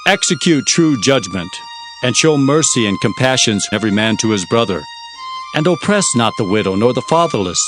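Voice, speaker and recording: An actor playing an earnest Hebrew prophet, narrating visions in a solemn, emphatic, declamatory scripture-drama style. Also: male voice, mid-range voice, animated, normal speed, lightly acted. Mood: proclaiming. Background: music.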